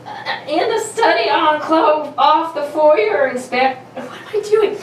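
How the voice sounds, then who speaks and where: A woman's voice: high voice, animated, a little slow. A woman playing a perky, cheeky young detective's assistant in an amateur comedic radio play, giggly and stumbling over her lines. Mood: cheeky, flustered. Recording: echoey room, distant mics, noisy.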